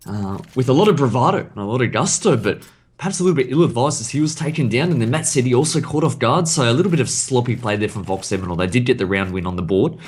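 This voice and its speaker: A man casting an esports match live, his voice croaky, calling the action and breaking it down in detail. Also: medium pitch, expressive. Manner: rapid, analytical play-by-play. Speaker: esports caster.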